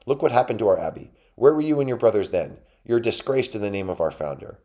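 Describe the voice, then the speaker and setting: A man's voice: extremely low pitch, brisk, darkish tone. A man voicing a tiny, defiant young male mouse knight who speaks in a haughty, challenging way. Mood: furious.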